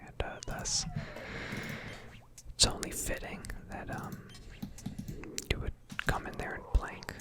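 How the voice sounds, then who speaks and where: A woman softly doing ASMR commentary over video game play, hesitant and self-deprecating, close to the microphone. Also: takes it slowly, long pauses. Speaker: gaming video creator.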